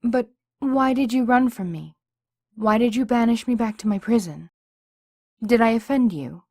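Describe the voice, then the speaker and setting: A woman's voice: a little high, normal speed, a little mellow, no echo, studio quality. A woman voicing a humble female djinni spirit, a servile mare who speaks formally and imploringly. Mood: earnest. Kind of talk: fan audiobook voice acting.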